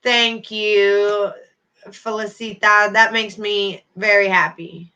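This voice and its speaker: A woman's voice: medium pitch, flat delivery, takes it slowly, pushed and bright, highs cut off. A middle-aged woman hosting a casual livestream, chatting to viewers by name with endearments. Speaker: YouTube livestream host.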